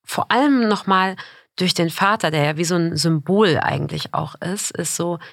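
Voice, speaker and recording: A woman's voice: fairly low pitch, very animated, normal speed, gravelly, no room sound, studio quality. A woman reporter talking reflectively in a casual conversation, sometimes searching for words.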